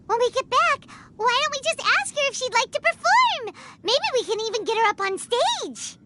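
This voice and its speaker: A woman voicing a small, eager, childlike female companion creature in a video game, squeaky and bubbly. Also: very animated, normal speed, heavy background noise. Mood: excited, eager.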